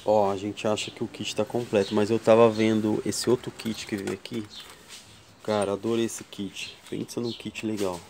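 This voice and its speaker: Low, flat-voiced man showing tools. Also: creaky, a little hiss or hum. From Brazil. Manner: slow, unhurried counting of sizes, chatty asides to viewers. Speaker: tool channel host. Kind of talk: casual show-and-tell vlog. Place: home workshop bench.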